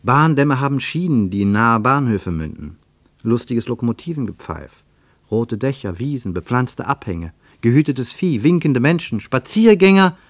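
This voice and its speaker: A man narrating a literary German radio play as a reflective storyteller, with measured, lyrical phrasing. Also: medium pitch, no room sound. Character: reflective male narrator of a literary travel account.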